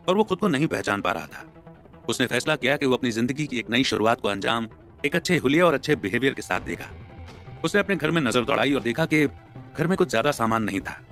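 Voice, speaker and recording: A man's voice: medium pitch, a bit bright. A man narrating a scripted audio story as a storyteller. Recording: music bed under the voice. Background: background music.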